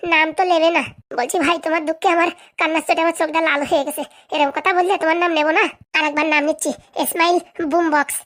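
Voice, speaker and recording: A woman voices a skinny, cheeky cartoon host with a high voice and very quick chatter. The character is chummy and teasing, grumbling for comic effect while addressing viewers. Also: fairly bright tone. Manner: chummy, teasing, mock-complaining. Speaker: host answering viewer comments.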